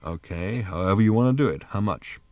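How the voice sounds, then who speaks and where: Cautious barman, slow, quiet, guarded, as a male narrator puts him on, storybook. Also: fairly low pitch, no room sound, lightly acted. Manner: cautious, hesitant.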